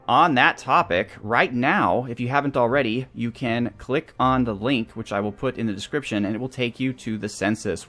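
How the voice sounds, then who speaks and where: A man's voice: lowish voice, fairly bright tone. A man hosting a video game playthrough, talking casually and thinking aloud as he weighs his options. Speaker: host of a gaming series. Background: background music.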